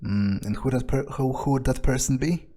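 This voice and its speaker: A man speaking in character during a video game playthrough, in a halting, musing way. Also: medium pitch, mellow. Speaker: player voicing his own character in a let's play.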